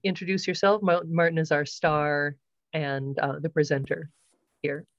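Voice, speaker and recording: A woman hosting an online short course on a video call, welcoming people and going over house rules with many pauses and ums. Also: deep voice, steady tone, dry recording, dull audio. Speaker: course host.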